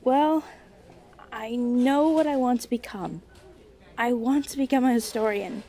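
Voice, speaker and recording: A woman's voice: fairly high pitch, warm tone. A woman acting a hesitant, apologetic young college student in a naturalistic audio drama. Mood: grateful, firmer. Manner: halting, apologetic, unsure. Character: hesitant young female college student, hurt but quietly resolute.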